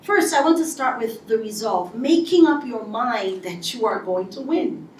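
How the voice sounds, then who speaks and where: A woman giving a motivational speech to an audience, telling her story in a lively way and acting out what people said. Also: a little high, normal speed, distant mic, slight background noise. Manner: animated storytelling with acted-out quotes. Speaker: speaker. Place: large hall before an audience. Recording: echoey hall, voice from afar.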